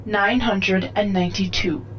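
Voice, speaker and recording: A woman's voice: mid-range voice, a little slow, a little hiss or hum, natural voice. Dull-toned woman as emotionless ship's computer. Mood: impassive. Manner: flat, precise, terse. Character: emotionless female starship computer. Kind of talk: science fiction audio drama acting.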